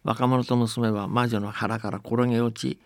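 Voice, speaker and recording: A man's voice: medium pitch, level tone, normal speed, gravelly, no echo. A man telling and explaining folktales conversationally, often checking that the listener agrees. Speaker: storyteller and folktale commentator.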